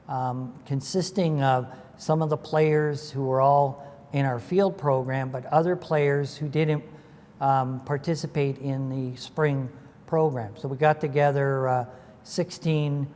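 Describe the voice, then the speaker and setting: A man in his sixties who speaks slowly and haltingly as an interview guest, with lots of fillers. His voice trails into a long reverb, and a faint hiss sits under it. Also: mid-range voice, darkish tone. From Ontario, Canada. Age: 60s. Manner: slow and halting, many uh and um fillers. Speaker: interview guest, former lacrosse organizer. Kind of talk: recalling past in interview.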